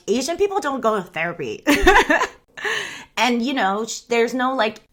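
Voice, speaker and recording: A woman's voice: medium pitch, fairly bright tone. A young woman from the United States chatting casually on a livestream, rambling and candid, often breaking into laughter. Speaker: streamer. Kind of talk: casual chat.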